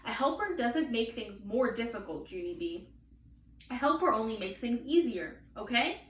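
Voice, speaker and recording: A woman voicing a firm, serious mother in a storybook, admonishing a young child in a measured way. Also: on the high side, very animated. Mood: stern, instructing. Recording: roomy, distant mic, faint noise.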